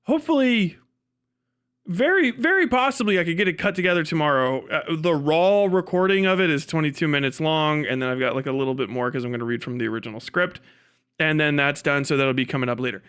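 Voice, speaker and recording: A man hosting a casual livestream, talking to his viewers in an animated, sweary, mocking way. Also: fairly high pitch, fast talker, smooth. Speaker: live stream host.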